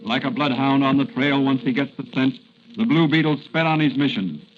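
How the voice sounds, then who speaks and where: A man's voice: mid-range voice, normal speed, muffled recording, over loud music, close to an everyday voice. An American man as a suspenseful, declamatory announcer narrating a 1940s radio serial on an old recording. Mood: dramatic.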